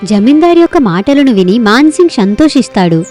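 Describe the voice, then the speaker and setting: A woman reading scripted narration for an animated moral story as its storyteller. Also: a little high, brisk, faint music behind.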